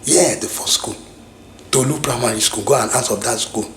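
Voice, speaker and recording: A man's voice: mid-range voice, normal speed, a bit bright, reverberant, a little hiss or hum. A middle-aged man from Nigeria with a Nigerian English accent, reminiscing in casual talk, rambling with many restarts and repeated phrases. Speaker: vlogger.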